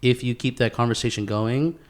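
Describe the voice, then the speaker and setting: A man's voice: medium pitch, fairly quick. Slangy man chatting on a podcast. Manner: profane, slangy, says bro, dude and man often. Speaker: podcast host. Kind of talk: casual podcast chat.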